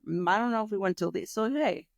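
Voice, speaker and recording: A woman's voice: medium pitch, fast, gravelly, no echo. Chatty New York woman, dry close mic. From New York, USA. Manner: talkative, chatty, self-deprecating asides. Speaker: guest, English teacher. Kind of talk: casual conversation.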